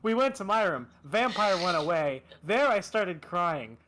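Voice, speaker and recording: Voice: medium pitch, sing-song, unhurried, noisy background. A theatrical, amused reader giving a comedic reading of fan fiction, often breaking into laughter and dropping in asides.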